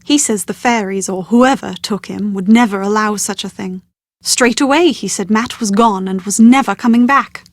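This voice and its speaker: An actress playing a well-bred, proud young British bride-to-be in a video game, with prim, articulate, genteel phrasing and exasperated confidence. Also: female voice, mid-range voice, normal speed, dry recording, studio quality, close to an everyday voice. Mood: matter-of-fact. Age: young adult. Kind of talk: scripted video game dialogue.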